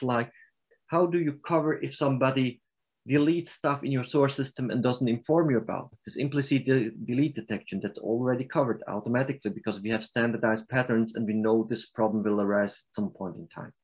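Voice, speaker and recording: A man with a Swiss German accent presenting software in a webinar and answering questions, explaining in a halting way with restarts. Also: mid-range voice, dull audio.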